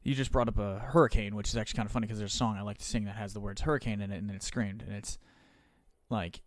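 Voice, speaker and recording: A man live-streaming a game, chatting casually with viewers in a rambling, profane, joking way, his voice slightly raspy. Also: fairly low pitch, steady tone, fast, dry recording.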